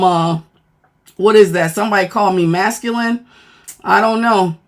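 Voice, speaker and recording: Deep-voiced woman going live on her phone, blunt and chatty, mid pitch. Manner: blunt, frank, thanks viewers mid-sentence. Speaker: livestreamer and former law enforcement worker. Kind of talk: casual live-stream chat reading comments.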